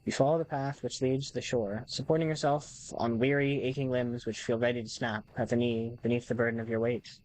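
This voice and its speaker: A man's voice: medium pitch, steady tone, brisk, hardly any pauses, darkish tone, natural voice, poor audio. A man voicing a formal, devoted, possessive visual novel narrator, speaking straight to the player in a courtly way. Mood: teasing. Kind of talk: reading game text aloud.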